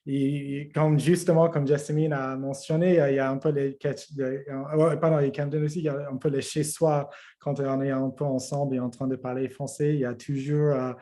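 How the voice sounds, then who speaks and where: A man's voice: mid-range voice, flat delivery, fairly quick, mellow. A thoughtful man speaking as a panelist in a casual discussion over a video call.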